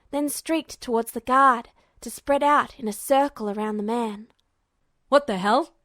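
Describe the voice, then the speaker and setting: A woman's voice: on the high side, a bit bright, dry recording, clean studio sound. A woman reading a fiction story aloud as a scripted narrator. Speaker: podfic narrator.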